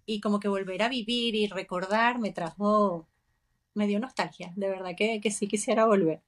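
A woman's voice: mid-range voice, steady tone, moderate pace. A Venezuelan woman in her forties co-hosting a podcast, talking reflectively in a casual chat. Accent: Venezuelan Spanish. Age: 40s. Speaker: podcast co-host.

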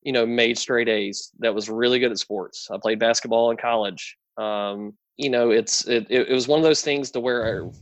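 A man's voice: mid-range voice, moderate pace, few pauses, no room sound, poor audio. Man sharing his story. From North Carolina. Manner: friendly, relaxed storytelling with frequent fillers. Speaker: guest. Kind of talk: casual interview conversation. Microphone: video call.

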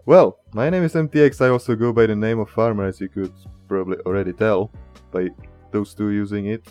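A man hosting a casual podcast, speaking hesitantly and quietly. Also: fairly low pitch, fairly even intonation, a little fast, soft background music. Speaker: podcast host. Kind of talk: casual chat.

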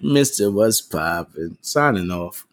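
A man's voice: deep voice, lively intonation, slow pace. A man co-hosting a podcast in casual banter, brash, teasing and freely profane. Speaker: podcast co-host.

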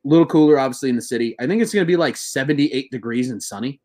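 A man in his twenties hosting a casual sports podcast, excitable and swearing, slightly buzzed. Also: medium pitch, racing. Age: 20s. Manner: excitable, swearing, laughing, bro-ish banter.

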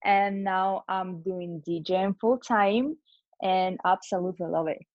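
A woman's voice: mid-range voice, a little slow, dry recording. A woman DJ, answering interview questions thoughtfully with many fillers. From Lithuania, raised in Russia. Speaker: guest DJ. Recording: dull dry lo-fi sound.

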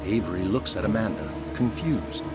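Low-pitched male narrator over loud music. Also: expressive, normal speed. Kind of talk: scripted dramatic narration.

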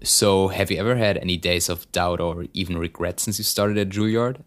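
A man interviewing a guest, asking measured, encouraging questions. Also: deep voice, fairly even intonation.

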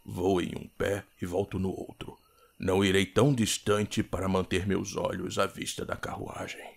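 A man's voice: sits low, little pitch movement, moderate pace, creaky. A man with a Brazilian accent playing a deferential, apologetic coachman who speaks formally in a period audio drama. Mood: reassuring. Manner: servile, formal, hesitant.

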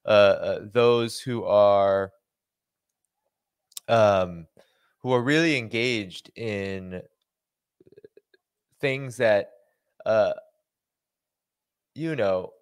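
A man's voice: lowish voice, very slow, pauses often, clear, resonant tone, dry recording. A man with a Boston accent hosting a livestream, reading a policy document aloud and breaking off into dry sarcastic asides. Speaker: host.

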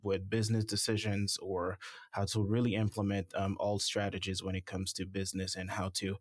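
A man from Haiti, a startup founder, answering interview questions in a measured way with many fillers. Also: low voice, monotone, dry recording, clean studio sound. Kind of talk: conversational interview.

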